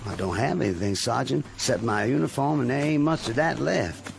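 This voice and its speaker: An actor playing a polite, wounded young Confederate soldier with a Southern American accent, in humble radio drama style. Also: male voice, medium pitch, a little fast, creaky, background noise, natural voice. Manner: deferential, plain-spoken country talk. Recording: music behind the voice.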